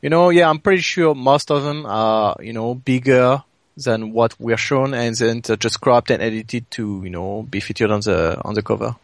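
A man's voice: mid-range voice, normal speed, no room sound. Hesitant man chatting, very dry sound. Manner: hesitant, punctuated with "you know". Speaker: podcast co-host. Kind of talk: casual chat.

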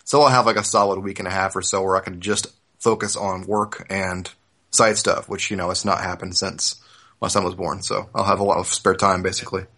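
A man's voice: sits low, flat delivery, a little fast, pushed and bright. A man in his thirties hosting a casual podcast, explaining things in an informal way. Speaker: podcast host.

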